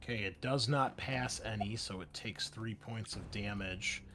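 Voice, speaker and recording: A man's voice: on the low side, a little slow, bright, forceful tone. Man running a tabletop game over music. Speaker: game master. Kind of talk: running a tabletop roleplaying game online, improvised narration. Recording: background music under the voice.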